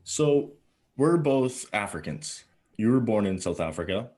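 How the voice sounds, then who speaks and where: A man from Ghana co-hosting a podcast, asking questions calmly and with curiosity. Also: on the low side, unhurried. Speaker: podcast co-host. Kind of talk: casual podcast discussion.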